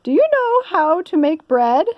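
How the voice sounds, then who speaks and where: A woman's voice: very high-pitched, sing-song, measured pace, no room sound, dull audio, voice pushed up, full character voice. A woman voicing a bossy lady of the house in an exaggerated, instructing manner for a storybook.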